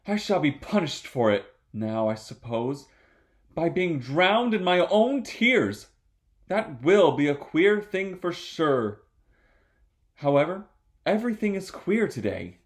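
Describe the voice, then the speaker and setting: A man's voice: a little high, big pitch swings, slow, pitched above the performer's own voice. A man voicing a polite, chatty, anxious little girl from a storybook, earnestly musing aloud. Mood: rueful.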